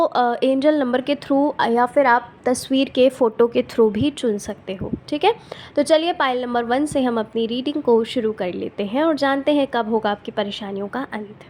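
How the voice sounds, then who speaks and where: A woman tarot reader with a sore throat, speaking softly and gently, often checking in with her viewers. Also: slight background noise. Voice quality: soft and low-volume.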